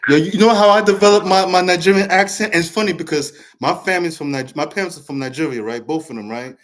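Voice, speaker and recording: Comedian guy hosting, a bit high-pitched, chatty and animated. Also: fast. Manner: animated and chatty, laughs easily. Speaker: host and stand-up comedian. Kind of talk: casual interview chat.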